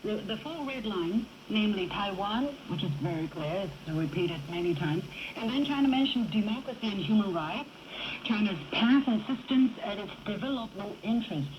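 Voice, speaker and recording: A woman hosts a current affairs discussion in a conversational, questioning role. Her voice comes through a muffled shortwave broadcast, with clear static and noise around it. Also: mid-range voice, expressive, moderate pace. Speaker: talk show host. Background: shortwave radio noise.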